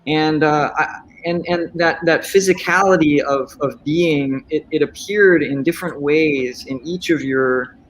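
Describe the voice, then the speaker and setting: A man's voice: fairly high pitch, a little slow, a little hiss or hum, rough recording. A man moderating a discussion panel, asking a long question in a thoughtful, hesitant way with many restarts.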